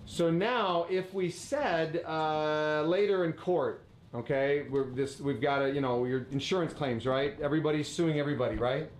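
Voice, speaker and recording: A man leading a casual instructive talk, asking questions and tagging "right?" onto his points. His voice sits slightly high and rings in an echoey basement, with faint background ambience. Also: moderate pace, a little hiss or hum. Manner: questioning, prompting, frequent "right?". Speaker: teacher leading a lesson. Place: basement room.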